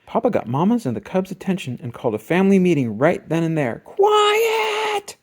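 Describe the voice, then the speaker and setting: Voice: medium pitch, expressive, fast talker, warm tone, breathy edge. An adult reader narrating a children's picture book expressively, drawing out key words for emphasis. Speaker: storybook reader. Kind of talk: scripted children's storybook narration.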